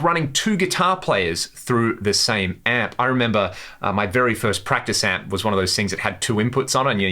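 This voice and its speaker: A man's voice: on the low side, pushed and bright. A man hosting a guitar gear tutorial, explaining things step by step in a casual, conversational way. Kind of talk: instructional demo, casual explanation.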